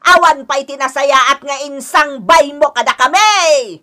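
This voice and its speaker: A woman's voice: high-pitched, measured pace, bright, forceful tone. A Filipino woman with an Ilocano accent acting a quarrelsome older mother-in-law in a radio drama, theatrical and complaining. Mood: indignant scolding. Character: scolding, wheedling older mother-in-law, quick to take offence.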